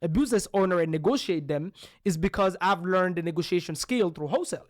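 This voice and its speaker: High-voiced punchy man from Belgium. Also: expressive, quick pace, no room sound, studio quality. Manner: emphatic, punchy, rhetorical questions. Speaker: podcast co-host. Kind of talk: real estate coaching talk.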